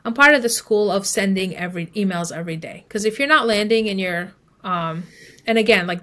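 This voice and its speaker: A woman's voice: fairly low pitch, fairly bright tone. A woman speaking as an expert guest in a podcast interview, explaining advice conversationally. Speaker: email marketing expert guest.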